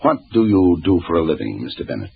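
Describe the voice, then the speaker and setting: An actor playing a composed, methodical police inspector who narrates his case in measured, clipped 1940s radio drama style. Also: deep voice, very animated, vocal fry, breathy edge, narrow, tinny audio, heavily acted. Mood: probing.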